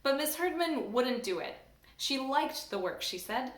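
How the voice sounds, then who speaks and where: Woman church staff member, high voice, animated storybook reader, roomy echo. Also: a little slow. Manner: animated, expressive reading. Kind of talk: storybook read-aloud.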